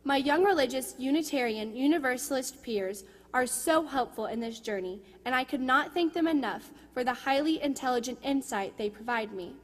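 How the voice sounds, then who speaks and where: Teenage female, high voice, prepared church testimonial, long hall reverb. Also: normal speed, few pauses. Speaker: youth member giving a testimonial. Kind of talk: prepared personal testimonial read aloud at church. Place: large indoor church sanctuary.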